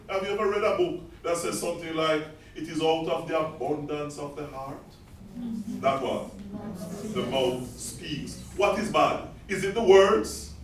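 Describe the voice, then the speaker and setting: A man's voice: fairly high pitch, slight background noise. A man speaking for a Bible society in a preaching style, putting rhetorical questions to the audience. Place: large echoey reception hall. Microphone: distant room mic. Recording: echoey hall from far off.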